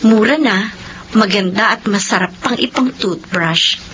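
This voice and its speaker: A woman's voice: medium pitch, measured pace, a bit bright, lightly acted. A woman playing a young, hardworking, pleading older sister in a Filipino radio drama. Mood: musing. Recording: dull radio sound, noisy background.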